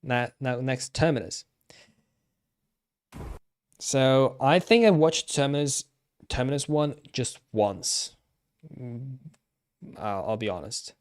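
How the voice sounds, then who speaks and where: A man giving casual live stream commentary, thinking aloud haltingly and repeating himself. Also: mid-range voice, expressive, clean tone.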